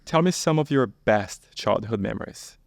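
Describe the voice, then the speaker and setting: A man hosting a podcast in a small studio, asking his guest questions briskly. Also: medium pitch, lively intonation, creaky, no room sound. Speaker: podcast host. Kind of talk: podcast interview. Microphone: boom mic on stand.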